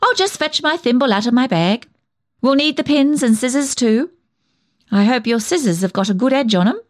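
A woman acts out a gossipy village dressmaker in a comic monologue. Her voice rises and dips in lively swoops, fussy, snobbish and full of sly insinuation. The sound is dry and close, with no room around it. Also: on the high side, normal speed, no room sound, close to an everyday voice. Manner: insinuating, chatty, self-important, fussy. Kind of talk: comic dramatic monologue reading.

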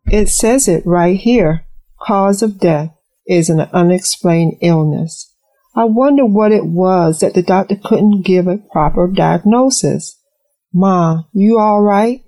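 A woman's voice: lowish voice, slow pace, mellow, low-quality recording. A woman playing a concerned grown child in an audio drama. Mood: puzzled, concerned.

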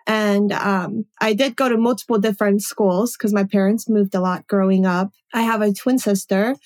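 A woman's voice: medium pitch, fairly even intonation, moderate pace, a little mellow. A woman in her late twenties, a native Polish speaker, chatting upbeat as a guest about her life. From Poland. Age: 20s. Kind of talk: casual interview conversation.

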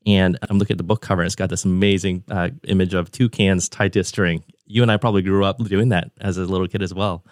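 A man's voice: low-pitched, level tone, fast, few pauses, no room sound, studio quality. A man of about forty hosting a podcast interview over a remote call, talking in a conversational, enthusiastic and discursive way. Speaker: podcast host.

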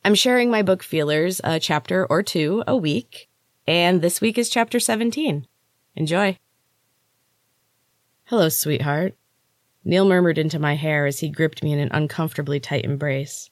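A woman's voice: sits low, moderate pace, no room sound, clean studio sound. A woman hosting a story podcast and reading fiction aloud as a narrator. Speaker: author and podcast host. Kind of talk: storytelling narration of own novel.

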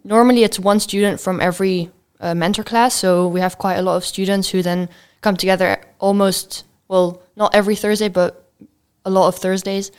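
A woman's voice: mid-range voice, fairly even intonation, moderate pace, a little mellow, clear, resonant tone. A fifteen-year-old girl from Belgium, answering questions as a student guest in an interview.

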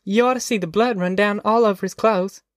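Man voicing a high-pitched restless little country girl. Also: steady tone, fairly quick, no echo, clean studio sound, lightly acted. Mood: eager tattling. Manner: folksy, ungrammatical child talk. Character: young girl, restless, tattling migrant child.

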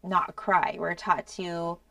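Earnest lower-voiced woman, slightly off mic. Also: flat delivery, unhurried, creaky, no echo, recorded from a distance. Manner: earnest, many "um"s, soft trailing phrases. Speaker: podcast co-host. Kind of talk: casual explanatory talk.

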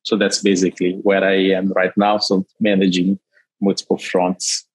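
A man from Brazil with a Brazilian Portuguese accent, a company CEO answering interview questions haltingly with frequent restarts. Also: deep voice, completely flat delivery, breathy edge, dry recording, rough recording. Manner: halting, many restarts and fillers.

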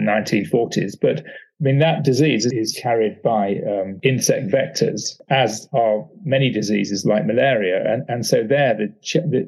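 A man's voice: medium pitch, vocal fry, a little mellow, slightly rough, rough recording. A male professor explaining as an interviewed expert, hesitant and repeating words as they go.

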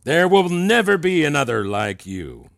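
A falsely flattering older mentor for a fiction podcast. He speaks slowly and swoops theatrically between grand and intimate, with gravel in the voice. The sound is close and dry. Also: fairly high pitch, no echo, full character voice. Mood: condescending praise. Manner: theatrical, effusive, slow savoring delivery with pointed pauses. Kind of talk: fiction podcast audio drama acting.

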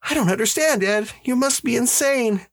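A man's voice: very high-pitched, lively intonation, moderate pace, no room sound, voice pushed up, full character voice. A man voicing a frightened, bewildered wife and mother in a dazed, protesting manner for a story. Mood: bewildered, alarmed.

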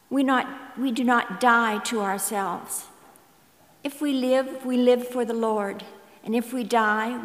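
A woman reading scripture aloud to a congregation in a slightly halting way, recorded in a reverberant church. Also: a little high. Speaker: scripture reader at a church service. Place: large indoor church space. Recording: echoey, reverberant church hall.

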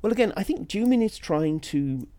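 A man's voice: sits high, sing-song, normal speed, warm tone. A man talking through political analysis as a solo podcast host, conversational and discursive, with asides and self-corrections.

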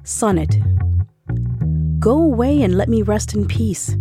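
A woman's voice: medium pitch, sing-song, slow pace, gravelly. A woman reading a poem in a recitation style. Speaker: poem reader. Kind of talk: poetry recitation. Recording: loud guitar music behind voice. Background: plucked guitar music.

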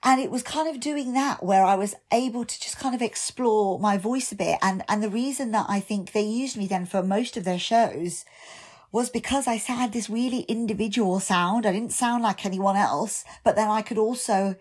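Candid raspy-voiced woman. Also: mid-range voice, moderate pace, darkish tone. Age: 30s. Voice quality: slightly raspy voice. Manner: candid, reflective, with frequent ums and restarts. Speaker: singer and actor. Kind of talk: podcast interview.

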